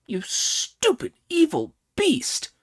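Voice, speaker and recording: A man's voice: fairly high pitch, a little slow, pitched above the performer's own voice, exaggerated voice. A man reading an audiobook, voicing a cheeky, boastful male monkey king who bosses the others around. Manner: boastful, commanding, excitable.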